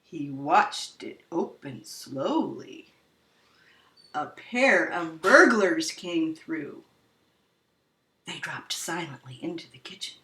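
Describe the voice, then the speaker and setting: A woman reading a children's story aloud expressively, with dramatic, drawn-out emphasis. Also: low voice, lively intonation, sharp tone. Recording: roomy echo, distant microphone.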